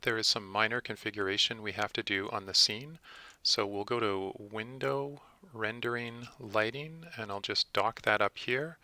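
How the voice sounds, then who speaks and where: A man narrating a software tutorial as its presenter, methodically explaining things step by step. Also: lowish voice, no echo. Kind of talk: instructional screencast narration.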